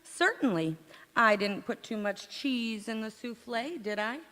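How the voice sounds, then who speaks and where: Woman acting a wisecracking, unhurried radio housewife. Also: fairly high pitch, expressive, a bit bright. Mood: indignant. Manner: dry, wisecracking retorts. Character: feisty, sarcastic 1940s sitcom housewife. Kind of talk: 1940s radio comedy acting, staged revival. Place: community theatre stage in a church. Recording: echoey hall, long reverb.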